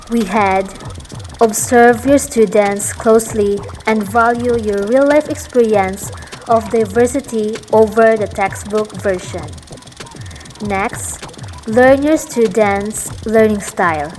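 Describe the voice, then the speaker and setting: A woman's voice: mid-range voice, darkish tone, rough recording. A woman giving a formal, scripted narration for an educational presentation.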